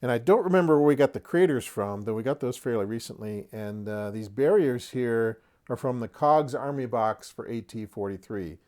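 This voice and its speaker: A middle-aged man with a medium-pitched voice presents as a channel host. His delivery is casual and folksy, like someone showing off a hobby collection. He slips in dry, joking asides. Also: normal speed, few pauses, darkish tone. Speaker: host of a tabletop wargaming channel. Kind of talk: casual product showcase to camera.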